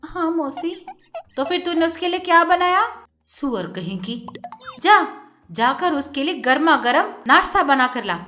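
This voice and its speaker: A woman voicing a cruel, greedy stepmother who scolds and shouts insults. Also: high voice, sing-song, a little slow, faint music behind, voice pushed up, heavily acted. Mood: scolding, shouting.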